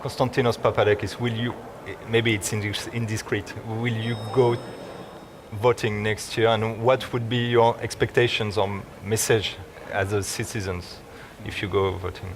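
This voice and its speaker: A man with a fairly low voice moderates a panel, putting questions to each guest in turn. His questions come with hesitant restarts. A faint hiss sits beneath him. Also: little pitch movement, moderate pace. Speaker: debate moderator. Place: debate hall in a parliament building.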